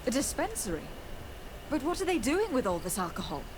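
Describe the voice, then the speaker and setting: A woman's voice: sits high, very animated, fairly quick, background noise. An actress playing a composed, resolute noblewoman in a video game, speaking formally and with assurance. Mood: composed, determined.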